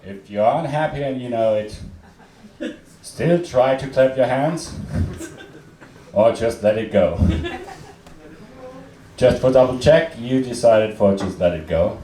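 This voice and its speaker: A young man from Austria with an Austrian accent, German his first language, performing a deadpan, self-mocking stand-up set with many fillers and restarts. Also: medium pitch, takes it slowly, long pauses. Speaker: stand-up comedian. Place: comedy club stage. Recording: roomy club sound from a distant mic, loud crowd.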